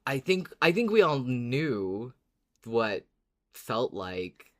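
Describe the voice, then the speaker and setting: A podcast co-host in casual, reflective chat, agreeable and hesitant, feeling out each thought in halting phrases. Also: medium pitch, very animated, a bit bright. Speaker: podcast co-host discussing a fiction series.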